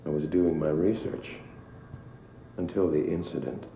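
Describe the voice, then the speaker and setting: An actor playing a trapped, eerily detached research scientist, halting and evasive, heard over an intercom. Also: male voice, steady tone, gravelly, warm tone. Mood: guarded. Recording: muffled, echoey, noisy intercom with rumble.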